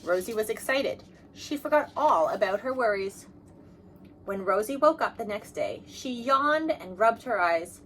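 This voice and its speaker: A woman reading a children's storybook aloud as narrator, in an expressive manner. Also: on the high side, unhurried, slight background noise, low-quality recording. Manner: expressive, acts out dialogue. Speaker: storybook reader.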